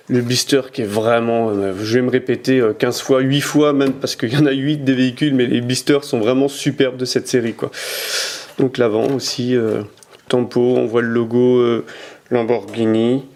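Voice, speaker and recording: A man at home chatting to a camera while he shows off toy cars, his talk halting and packed with fillers and repeated words. He turns each item toward the lens as he goes, sometimes drifting a little away from the mic. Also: mid-range voice, a little mellow. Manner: hesitant, many "euh" fillers and repeated words. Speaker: toy car collector reviewing his collection. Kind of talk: casual unboxing commentary.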